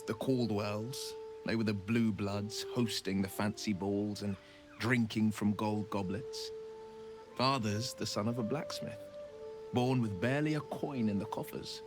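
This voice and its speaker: An actor playing a noble, earnest monster hunter in a video game, speaking in a measured, gallant storytelling way. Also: male voice, medium pitch, close to an everyday voice. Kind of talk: video game character dialogue.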